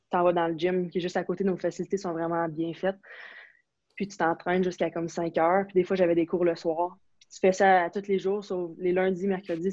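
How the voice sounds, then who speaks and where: A relaxed young woman with a Quebec French accent who talks in a loose, chatty way, piling on fillers and restarts. Her delivery stays flat, with little rise and fall. The recording is dry, a bit dull and lo-fi. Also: on the low side, no room sound. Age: young adult. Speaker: interview guest, university hockey player. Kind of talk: casual conversational interview. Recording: slightly dull, lo-fi sound.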